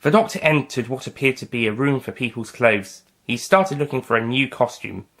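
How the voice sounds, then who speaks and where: A man's voice: mid-range voice, animated, normal speed, fairly bright tone. A man reading scripted science fiction story narration as its narrator. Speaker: author and narrator.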